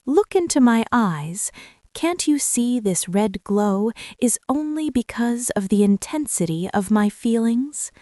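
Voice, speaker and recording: A woman's voice: on the high side, darkish tone, dry recording, studio quality. A woman playing an obsessive, lovestruck demon princess in an intimate ASMR roleplay, sweetly menacing and regal. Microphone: close ASMR microphone.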